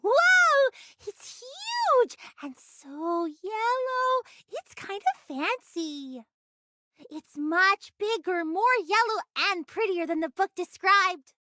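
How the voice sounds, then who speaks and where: A chatty young anime game girl with a tiny, very high voice. She is eager and childlike, a little boastful, and her pitch bounces around. The recording is close and dry. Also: very animated, takes it slowly, pushed and bright, dry recording, exaggerated voice. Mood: amazed.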